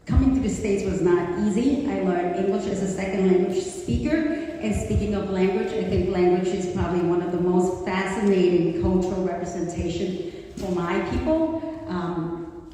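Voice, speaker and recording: A woman in her forties who grew up in Hong Kong and speaks Cantonese natively, giving a chatty personal talk at a community event, with many fillers. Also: on the low side. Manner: warm, conversational, with frequent fillers. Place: community center hall. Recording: echoey hall, distant mic, faint noise.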